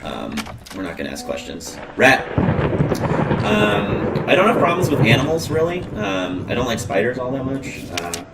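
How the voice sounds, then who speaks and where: A man's voice: medium pitch, huge pitch swings, normal speed, creaky, recorded from a distance, heavy background noise. A man casually commentating on a game he is playing, rambling and joking, with lots of ums and uhs. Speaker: let's play host.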